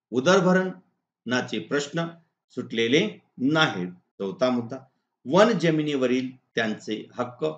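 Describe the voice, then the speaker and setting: A man with a Maharashtrian accent, a teacher reading out lesson answers point by point in a deliberate, instructional way. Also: mid-range voice. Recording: echoey room, dull lo-fi sound.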